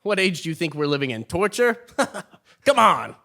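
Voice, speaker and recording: A man's voice: on the high side, very animated, normal speed, creaky, sharp tone, full character voice. An actor playing a well-meaning, exasperated new king in a comic stage play, earnest and theatrical. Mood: earnest, incredulous. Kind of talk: live absurdist comedy stage acting.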